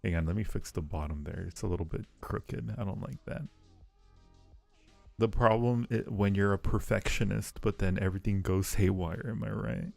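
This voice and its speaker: A man's voice: fairly low pitch, normal speed, gravelly, a little mellow, faint music behind. A man hosting a casual livestream, chatting playfully and affectionately with viewers in a rambling way, often repeating phrases. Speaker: livestream host.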